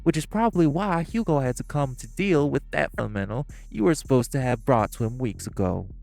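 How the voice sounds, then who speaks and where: A man's voice: medium pitch, lively intonation, brisk, vocal fry, a little mellow, soft background music. A man playing sneering profane gangsters. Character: hostile male crime bosses, magic gangsters. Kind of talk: audio roleplay drama.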